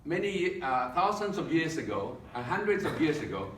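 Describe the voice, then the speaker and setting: A man with a Filipino accent gives a humorous speech at a contest, delivering his jokes drily and pausing for the laughs. He is recorded from across an echoey meeting hall. A faint hum of the room sits behind him. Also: a little high, far from the mic. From Philippines. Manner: deadpan joking with pauses for laughs. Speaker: contest speaker.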